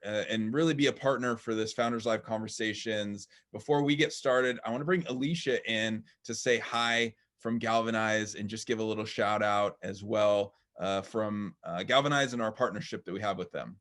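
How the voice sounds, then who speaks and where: A man's voice: on the low side, a bit bright. A man hosting a live online interview, talking in a rambling way with many fillers and restarts. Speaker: host. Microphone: video call.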